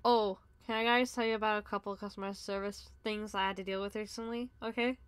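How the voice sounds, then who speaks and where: A woman's voice: medium pitch, fast talker, clean tone, dry recording. A woman on a casual podcast telling stories about customer calls, mimicking the callers and laughing along. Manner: lively storyteller, mimics callers, laughs often. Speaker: podcast co-host. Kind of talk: casual chat, storytelling.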